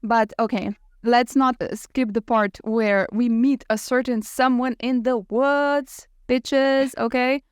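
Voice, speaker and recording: A woman with a fairly high, smooth voice talks as a podcast co-host in a casual book chat. She is animated and opinionated, and swears freely. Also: slow pace, hardly any pauses, no room sound, studio quality.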